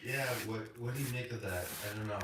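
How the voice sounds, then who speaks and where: A man hosting a podcast, talking in a halting, self-critical way and often restarting his sentences. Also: fairly low pitch. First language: Russian. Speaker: podcast host. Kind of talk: casual conversation. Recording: echoey room, distant mic, loud noise.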